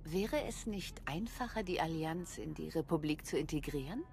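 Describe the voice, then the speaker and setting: An actress playing a resolute, courteous female commander in a video game, calm and formal. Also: low voice, normal speed, vocal fry. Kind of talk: video game cutscene acting.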